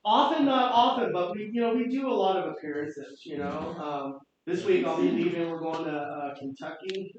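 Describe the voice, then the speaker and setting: A chatty, upbeat man from South Korea talks to a meeting room, his voice sitting high. He is heard from some distance in a very echoey room, and the sound is a little dull. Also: moderate pace, few pauses, slight background noise. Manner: chatty and upbeat, with fillers and repeats. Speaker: guest speaker. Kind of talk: casual talk to a team meeting. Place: office meeting room with Zoom audience.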